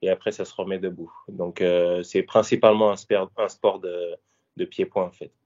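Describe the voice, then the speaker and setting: A man's voice: low-pitched, flat delivery, moderate pace, a little mellow. A man in his thirties from Paris, a former fighter and coach, answering interview questions casually with lots of fillers and restarts. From France, Paris. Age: 30s. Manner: hesitant, many fillers and restarts. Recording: dull lo-fi audio.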